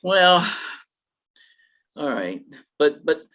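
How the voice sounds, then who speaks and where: A man's voice: fairly high pitch, sing-song, extremely slow, pushed and bright, exaggerated voice. A performer playing a dim, lovestruck male gangster in an old-time radio play, stammering and bumbling in slangy talk. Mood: lovestruck, flustered.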